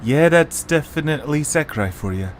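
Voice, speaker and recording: A man voicing an easygoing, sensible young creature boy with animated, casual delivery in an amateur cartoon audio drama. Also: a little high, moderate pace, a little hiss or hum, close to an everyday voice.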